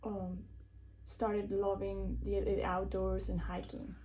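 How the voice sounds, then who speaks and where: Older woman with a mellow voice interviewing. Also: mid-range voice, level tone, takes it slowly, slight background noise, rough recording. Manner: hesitant, many ums. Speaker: interviewer, vlogger. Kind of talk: casual home interview.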